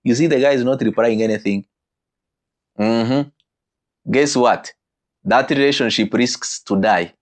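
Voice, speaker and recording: A man delivers a teaching talk on marriage in a voice of ordinary middle pitch. He talks animatedly, often jumping upward in pitch and stretching words out slowly when he wants a point to land. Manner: animated, with sudden pitch leaps and slowed emphatic phrases.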